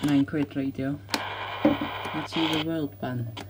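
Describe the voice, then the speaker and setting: A man's voice: a little high, fairly even intonation, takes it slowly, over loud music. A man with a British accent giving a casual hands-on demonstration as the host of a home video. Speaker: host showing a radio collection. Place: indoors at home, small room. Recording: very noisy home recording with radio static. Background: radio static and clicks.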